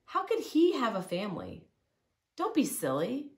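A woman's voice: fairly high pitch, wildly swooping pitch, unhurried. A middle-aged woman reading a children's story aloud expressively. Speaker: storybook reader. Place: living room, indoors. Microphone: camera or phone a short distance away.

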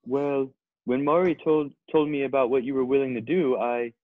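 A man's voice: mid-range voice, steady tone, moderate pace, dry recording, close to an everyday voice. A man playing a dim, earnest, vain young male model in an amateur comedy script read over video call. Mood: hesitant. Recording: thin phone-like video-call audio.

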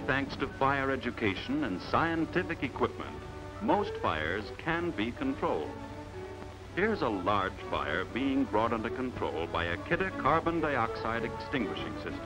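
An American man narrating a scripted 1950s training film in a measured, authoritative, emphatic manner, on a vintage soundtrack. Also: medium pitch, low-quality recording.

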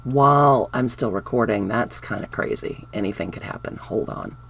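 Woman craft host, upbeat and chatty, gravelly voice, noisy home recording. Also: rumbling low, moderate pace. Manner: chatty, upbeat, talks to viewers while handling papers. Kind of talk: casual craft demonstration. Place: indoors at a wooden craft table.

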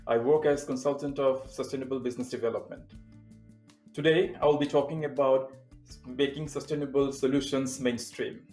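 A young man from Bangladesh speaks in a steady, measured way, an occasional filler slipping in. His voice sits at an ordinary middle pitch. The room sounds roomy, with faint music underneath. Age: young adult. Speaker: sustainability consultant. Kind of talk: prepared remote talk. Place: indoor room with plain wall.

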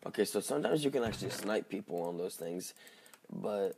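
A man with a slightly hoarse, just-woken voice giving casual, halting gameplay commentary as he thinks aloud. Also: lowish voice, lively intonation, darkish tone, slight background noise. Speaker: gaming video host.

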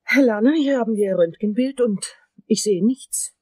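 A matter-of-fact dentist talks in a slightly high, mellow and husky voice. It sounds like dialogue from a crime radio play. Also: female voice, normal speed. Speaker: actress. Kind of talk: crime audio drama dialogue.

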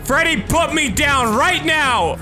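A young man with a very high, animated voice bantering through a horror game. He is panicky and sweary and repeats himself, with loud music behind him. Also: slow. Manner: panicky, foul-mouthed, repeats phrases. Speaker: gaming video host and player. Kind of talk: excitable gameplay banter with friends.

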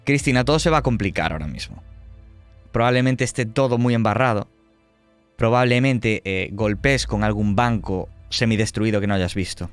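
A man's voice: lowish voice, moderate pace, clear, resonant tone, no echo, faint music behind. A man running a tabletop horror roleplaying game, narrating in a dramatic, suspenseful way. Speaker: game master.

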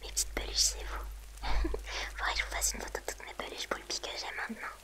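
A woman streamer whispering softly and gently to her viewers in an ASMR livestream, close to the mic. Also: quick pace.

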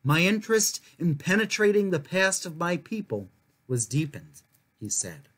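High-voiced young man reading aloud. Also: sing-song, normal speed, fairly bright tone. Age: young adult. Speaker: children's storytime reader and host. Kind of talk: reading a picture book aloud to viewers.

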